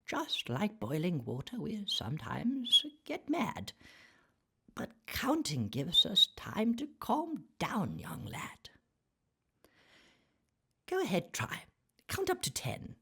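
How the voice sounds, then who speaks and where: Woman putting on a raspy, wildly swooping storybook mentor. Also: on the low side, huge pitch swings, slow, pauses often, sharp tone, voice pushed up, full character voice. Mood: encouraging, instructive.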